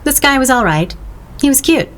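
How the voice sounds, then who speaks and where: An actress playing a wry, quippy woman friend in an audio drama, with a dry, deadpan delivery. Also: high-pitched, moderate pace. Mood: wry storytelling. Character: wry, bantering woman friend of a blocked painter.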